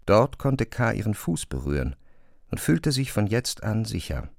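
A man narrating a literary radio drama in a measured, serious manner. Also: fairly low pitch, big pitch swings, creaky, no room sound, studio quality.

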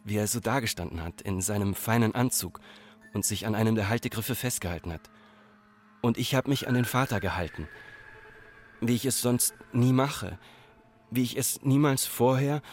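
An actor playing an Austrian farmer's son, telling a childhood memory in plain, measured radio drama narration. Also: male voice, lowish voice, natural voice.

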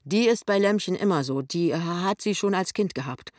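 A woman's voice: on the low side, expressive, moderate pace, a little mellow, no room sound, clean studio sound, pitched above the performer's own voice, close to an everyday voice. A woman reader acts a young husband in a novel, speaking up firmly. He is proud and testy, with a blunt, impatient manner. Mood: proud, teasing.

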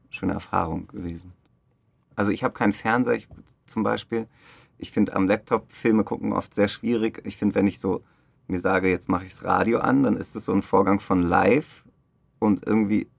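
A man's voice: deep voice, steady tone, normal speed, vocal fry, slightly rough, dry recording. A man giving casual, reflective interview answers as a theatre director, feeling for his phrasing and often correcting himself. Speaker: theatre director interviewed about a radio play.

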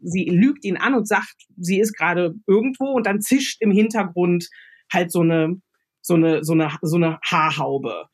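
A woman's voice: lowish voice, measured pace, slightly rough. A woman with a German accent thinks aloud as a radio play author, giving casual creative advice with examples.

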